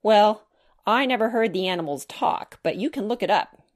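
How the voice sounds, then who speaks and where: An excitable young boy from a children's storybook, played by a woman narrator. He talks in eager, insistent bursts, pitched up and animated, keen to be believed. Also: normal speed, close to an everyday voice. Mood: earnest, excited.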